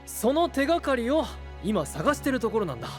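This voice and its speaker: A man's voice: extremely high pitch, sing-song, fairly quick. A man voicing an earnest, kind, straightforward young hero knight in an anime-style voice drama, youthful and sincere. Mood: inquiring. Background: background music.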